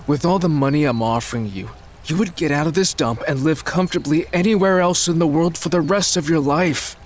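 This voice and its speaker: A man's voice: fairly high pitch, brisk, natural voice. A man playing an arrogant, demanding millionaire villain in an audio drama, speaking in a haughty, insistent way. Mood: indignant. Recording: faint background noise.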